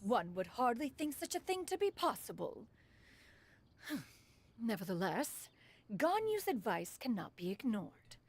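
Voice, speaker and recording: A woman's voice: fairly high pitch, sing-song, a little slow, slightly rough, exaggerated voice. A woman voicing a proud, ancient female bird spirit who speaks in a lofty, archaic way and calls herself "one". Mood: haughty. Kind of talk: video game voice acting.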